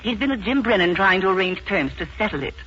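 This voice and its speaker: An actress playing a gentle older wealthy mother in a 1940s radio drama, fond and gracious. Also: medium pitch, expressive, fast talker, close to an everyday voice. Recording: muffled hissy 1940s radio broadcast.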